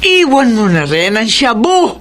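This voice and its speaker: A worried grandmother in a radio play. She admonishes and pleads in a fairly high voice, with noisy ambience behind her. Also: sing-song, unhurried. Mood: concerned, pleading. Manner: admonishing, imploring. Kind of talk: radio drama acting.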